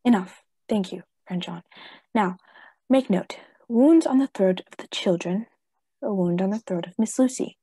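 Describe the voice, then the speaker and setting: A woman playing a solemn, insistent vampire-hunting professor in a radio play, husky and emphatic. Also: medium pitch, lively intonation, normal speed, warm tone, no room sound. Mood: urgent, solemn. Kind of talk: stage-style radio play acting.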